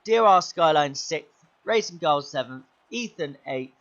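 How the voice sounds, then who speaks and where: Young guy calling his own race, voice going hoarse, pretty high, gets shouty when stuff happens. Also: a little slow, dull audio. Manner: excitable, shouts at big moments. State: losing his voice. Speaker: sim racing driver commentating his own race. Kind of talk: gaming commentary.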